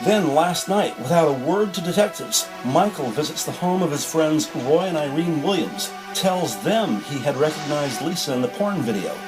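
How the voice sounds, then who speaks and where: A man's voice: on the high side, animated, normal speed, few pauses, poor audio. An American man reading scripted crime-drama narration. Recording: music behind the voice.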